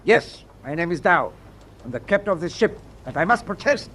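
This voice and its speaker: German tanker captain: formal, affronted, lively highish voice, wartime radio play, slight background noise. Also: male voice, moderate pace. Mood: indignant. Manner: stiff, formal. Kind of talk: naval radio drama acting.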